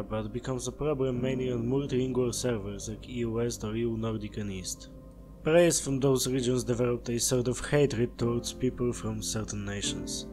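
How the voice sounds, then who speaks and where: A man with a Polish accent, a non-native English speaker, narrating a scripted video essay. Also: mid-range voice. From Poland. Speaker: video creator. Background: background music.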